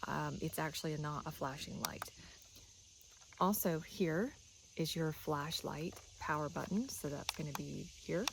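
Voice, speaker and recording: Female product reviewer, low-pitched with some fry, casual and explanatory, faint noisy background. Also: a little slow. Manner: chatty, instructional.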